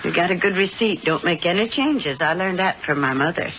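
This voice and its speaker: A homely, motherly old American wife, talks fondly with swooping, animated intonation. She sounds like vintage radio drama, on a dull recording with faint hiss. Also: lowish voice, fairly quick. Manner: homey, fond, chatty. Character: sweet, homely elderly wife, a motherly female witch.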